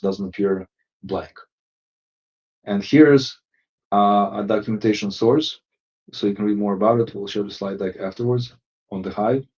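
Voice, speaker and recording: A man presenting a software webinar, explaining settings step by step with many fillers and restarts. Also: lowish voice. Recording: distant, muffled lo-fi audio.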